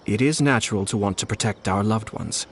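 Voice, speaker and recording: An actor playing a shrewd young nobleman in a video game, speaking in a measured, formal, diplomatic way. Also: lowish voice, slight background noise, lightly acted. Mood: frank, gentle. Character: composed, shrewd young nobleman and commissioner, courteous strategist.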